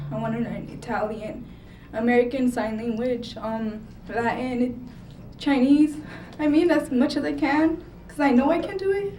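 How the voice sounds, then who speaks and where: A nervous young woman from Mexico, a native Spanish speaker, speaking haltingly with many ums as an award-winning student. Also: fairly high pitch. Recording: echoey hall from far off, faint noise.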